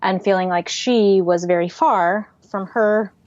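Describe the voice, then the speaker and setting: A reflective woman guest talks through her thoughts in an unhurried way, pausing on fillers. Her voice sits at an everyday pitch and comes across dry, with no room sound. The recording is a little dull and muffled. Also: steady tone, darkish tone, dry recording. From Pacific Northwest, United States. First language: Swedish. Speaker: podcast guest, writer and artist. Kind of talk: casual interview conversation.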